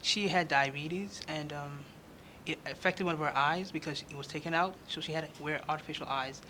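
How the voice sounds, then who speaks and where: A young male nursing student speaking earnestly in an interview, pausing often with fillers. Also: on the high side, pushed and bright, slight background noise. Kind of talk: on-camera interview. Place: state house conference.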